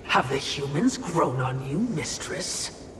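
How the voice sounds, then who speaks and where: A man's voice: expressive, slow pace, noisy background. A man voicing an obsequious, sardonic spirit servant in a video game, speaking in a formal, snide and fawning way. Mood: snide, musing. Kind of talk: theatrical video game dialogue. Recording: echoey, distant, loud rumbling game ambience.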